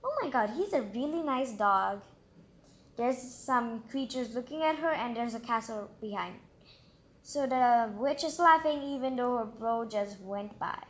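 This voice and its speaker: A woman's voice: a little high, expressive, measured pace. A woman reading a children's storybook aloud expressively, breaking off for chatty, exclamatory asides of her own. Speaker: storybook reader and channel host.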